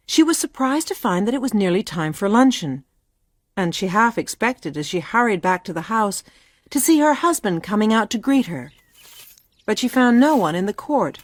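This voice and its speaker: A woman's voice: mid-range voice, no room sound. A woman narrating a literary story for a radio play from a script.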